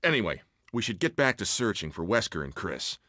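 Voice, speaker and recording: A man playing a burly middle-aged police officer in a video game, gruff but friendly, hearty and protective. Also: fairly low pitch, sing-song, fairly quick, pushed and bright, no room sound, clean studio sound. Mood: friendly, steady. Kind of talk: dramatic video game acting.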